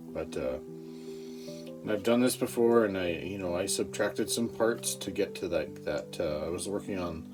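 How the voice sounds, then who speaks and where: Man, low voice, slow rambling chat, halting, sweary, guitar music behind. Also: low-quality recording. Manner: halting, profane, frequent throat-clearing. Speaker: amateur stand-up comedian. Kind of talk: rambling casual vlog chat.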